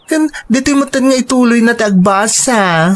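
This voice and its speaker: A woman's voice: fairly high pitch, moderate pace, background noise, natural voice. Earnest young Ilocano woman played by an actress. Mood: respectful, pleading. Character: earnest, persuasive young working woman, devoted to her boyfriend. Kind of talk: radio drama acting.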